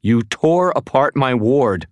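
A man voicing a frightened, pleading woman with a thready, breathy voice in an audiobook. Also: medium pitch, animated, normal speed, darkish tone, no room sound, pitched above the performer's own voice, close to an everyday voice. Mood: indignant, shaken. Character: frightened, pleading adult woman, timid but indignant.

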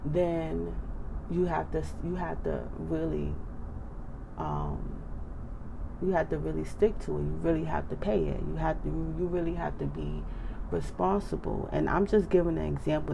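An American woman, slightly hoarse, giving casual motivational advice in an emphatic, conversational way with repeated check-in phrases. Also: deep voice, background noise. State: slightly hoarse, clearing throat. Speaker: advice speaker.

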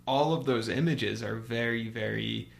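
A man co-hosting a casual podcast, talking in a halting, informal way with lots of conversational hedging words. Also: mid-range voice, vocal fry. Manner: halting, informal, heavy use of "like" and "you know". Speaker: podcast co-host. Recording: faint hum and background hiss.

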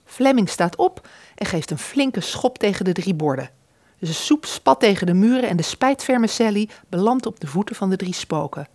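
Dry-recorded woman narrator, mid-pitched. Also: expressive, normal speed, darkish tone, no room sound. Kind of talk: scripted audio drama narration.

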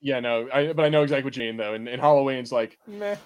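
A man's voice: mid-range voice, quick pace, a little mellow, clean tone, dull audio. A man co-hosting a podcast, riffing casually in slangy, sweary watch-party chat. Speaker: podcast co-host.

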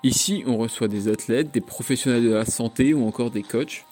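Mellow male host over faint music. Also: medium pitch, normal speed. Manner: steady, read-out introduction. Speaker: podcast host. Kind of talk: scripted podcast intro. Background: background music.

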